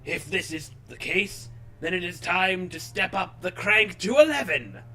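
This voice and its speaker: A man's voice: sits high, lively intonation, a little slow, gravelly, sharp tone, soft background music, voice pushed up, heavily acted. A man voicing an imperious, snarling tyrant king in a parody story. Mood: snarling, scheming. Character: raging, snarling decadent villain king.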